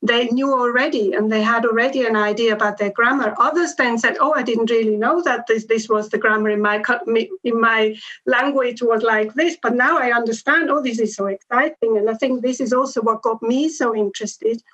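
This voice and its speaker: A middle-aged woman who is not a native English speaker answers a panel question from her home over a video call. Her voice sits fairly high, and she pauses and restarts often with plenty of ums as she thinks aloud. The sound is muffled, lo-fi laptop audio. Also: a bit bright. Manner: thoughtful, hesitant with many ums and restarts. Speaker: education researcher. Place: home room with bookshelves and plants. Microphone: laptop webcam on video call.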